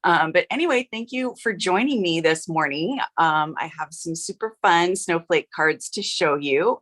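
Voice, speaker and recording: A middle-aged woman with a low, slightly rough voice chats through a live craft stream. She is upbeat and casual and peppers her talk with fillers. Her tone stays friendly and unhurried. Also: fairly bright tone. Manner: chatty, upbeat, many filler "uh"s. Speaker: host of a live card-making stream. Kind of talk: casual livestream chat.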